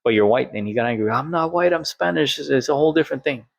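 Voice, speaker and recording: A man's voice: mid-range voice, animated, fast talker, creaky, no echo, studio quality. A man, telling a personal story in a candid, conversational monologue and quoting what others said. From Colombia. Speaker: vlogger.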